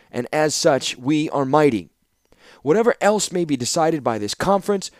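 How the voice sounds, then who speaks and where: A man's voice: a little high, darkish tone, no room sound, clean studio sound, pitched above the performer's own voice, lightly acted. A man voicing a self-assured envoy in an audiobook, speaking in a formal, declamatory way, courteous but firm.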